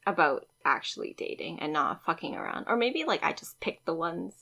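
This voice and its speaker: A woman in her twenties co-hosting a relaxed talk, telling stories with animation and frequent giggles. Her voice is husky yet bright, sitting a little low for a woman. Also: normal speed. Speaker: podcast co-host. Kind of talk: casual chat, storytelling.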